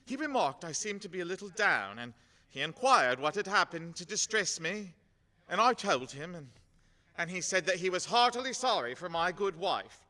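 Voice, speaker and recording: Radio play dad played by a man, grieving yet gentle, bright earnest delivery, long reverb. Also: sits high, sing-song, full character voice. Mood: earnest, halting. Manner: tender, halting, earnest. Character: gentle, grieving, loving working-class father.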